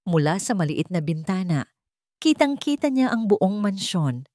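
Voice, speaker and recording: A woman narrating a dramatic audio story in a measured, solemn way, recorded close to the mic. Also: mid-range voice, very animated, mellow, no room sound.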